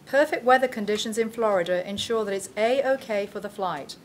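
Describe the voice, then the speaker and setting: A woman's voice: mid-range voice, brisk, slight background noise, close to an everyday voice. A woman playing a formal British newscaster in her fifties, in a parody newscast at a table read. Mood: brightly formal.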